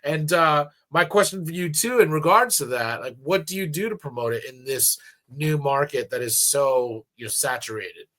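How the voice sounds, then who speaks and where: A man's voice: fairly high pitch, moderate pace, fairly bright tone. A man hosting a radio interview show, talking in a loose, rambling way with lots of fillers and restarts. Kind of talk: casual interview chat.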